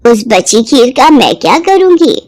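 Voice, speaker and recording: A woman voicing a kind old village woman in a cartoon devotional story, motherly, coaxing and unhurried. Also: a little high, very animated, darkish tone. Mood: puzzled wonder.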